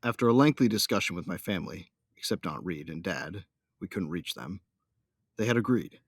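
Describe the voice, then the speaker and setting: A man's voice: deep voice, lively intonation, moderate pace, no room sound, studio quality. A man playing a devoted young starship captain, narrating his own story in a science fiction audio drama. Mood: earnest, tender. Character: young newlywed starship captain, loyal son of smugglers, devoted husband. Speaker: first-person narrator and character.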